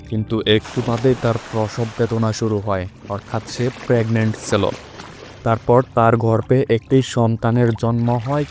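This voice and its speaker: A man's voice: mid-range voice, darkish tone, a little hiss or hum. A man giving a scripted storyteller's narration for a fairy-tale cartoon. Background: prominent music.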